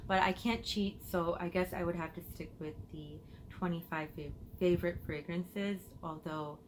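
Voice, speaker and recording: Low-voiced woman chatting. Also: fairly even intonation, moderate pace, slight background noise. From United States, Illinois. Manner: chatty, thinks aloud while answering. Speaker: perfume vlogger. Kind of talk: casual Q&A chat to camera. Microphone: phone.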